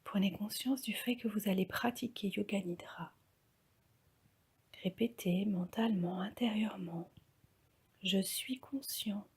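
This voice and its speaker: A woman leading a guided yoga relaxation as an instructor, speaking calmly and softly, often close to a whisper. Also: mid-range voice, unhurried, long pauses. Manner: calm, soft, breathy, often near-whispered delivery.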